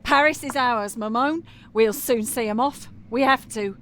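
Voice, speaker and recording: An actress playing a young, feisty Parisian street singer in an audio drama, earthy, blunt and defiant. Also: female voice, a little high, a little slow, a bit bright, a little hiss or hum.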